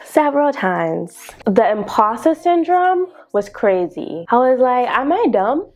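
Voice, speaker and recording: A young woman in her twenties with a Ghanaian accent, telling a story to camera in a casual vlog, animated and quick to laugh. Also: highs cut off, soft background music. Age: 20s. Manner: animated and expressive, laughing often, exclaiming in sudden high bursts. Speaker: vlogger. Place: small bedroom studio indoors. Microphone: lapel mic.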